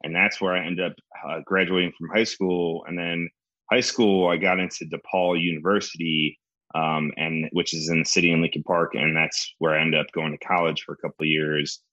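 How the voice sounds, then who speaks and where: A man's voice: sits low, flat delivery, fairly quick, bright, forceful tone, no echo, muffled recording. A young man from the Chicago area, a guest comedian, telling stories in a loose, digressive way full of "like". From Chicago area, USA. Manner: rambling, digressive storytelling with lots of "like". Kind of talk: casual podcast chat.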